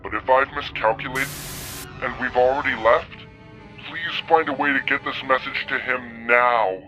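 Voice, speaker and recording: A man acting an anxious robot sending a desperate, halting warning message in an animated sci-fi story. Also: mid-range voice, muffled recording, soft background music, natural voice. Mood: anxious, urgent.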